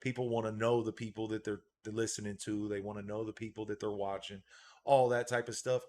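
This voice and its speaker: A man's voice: mid-range voice, a bit bright. A man hosting a casual livestream as a dog breeder, laid-back, reading out and thanking viewer donations, often repeating a catchphrase.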